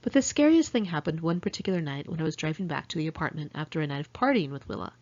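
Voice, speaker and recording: A woman's voice: deep voice, lively intonation, racing, darkish tone, highs cut off. An American woman narrating her own memoir in a candid, conversational, salty-tongued way. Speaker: author reading own memoir. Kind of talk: first-person audiobook narration.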